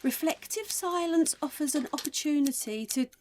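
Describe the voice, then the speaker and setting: High-pitched, prim matron. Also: female voice, animated, normal speed, a little mellow. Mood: stern. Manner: bossy, prim, clipped. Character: stern, brisk matron of a charity asylum. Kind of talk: period radio drama acting.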